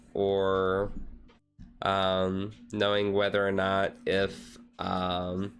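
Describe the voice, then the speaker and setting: A man with a fairly low, smooth voice talks casually on a chilled-out stream. His delivery stays quite flat in pitch. He rambles and hesitates, often doubling back over a phrase before moving on. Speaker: vtuber streamer.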